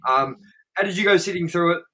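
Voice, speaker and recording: A man's voice: sits high, a little fast, fairly bright tone. A man co-hosting a podcast, talking casually and at length, rambling with lots of fillers and swearing. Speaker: podcast co-host. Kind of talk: casual chat.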